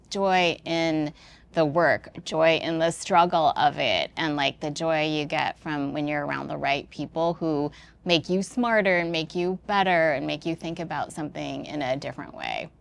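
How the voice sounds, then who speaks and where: A woman with a notably low voice and plenty of vocal fry, talking as a guest in a podcast interview. She speaks in a thoughtful, informal, conversational way, working through her ideas as she goes. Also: normal speed. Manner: thoughtful, informal, peppered with "like" and "you know". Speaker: interview guest, business executive.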